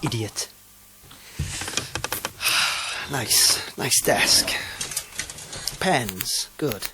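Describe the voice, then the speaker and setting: A woman voices a sly, brusque British minder in a sci-fi comedy drama. Her voice is low with lively swings of pitch, deadpan and blunt. Noise is clearly audible behind her. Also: very slow, full character voice. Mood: gruff, cynical. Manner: deadpan, blunt, mock-polite. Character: brusque, sly, cynical undercover guardian. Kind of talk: comic audio drama acting.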